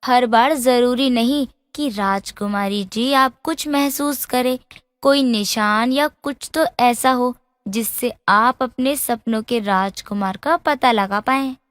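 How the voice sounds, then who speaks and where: A woman's voice: on the high side, slow pace, dry recording, clean studio sound, in a large hall. A woman playing a princess's loyal young friend in a fairy tale, forthright and protective. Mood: earnest.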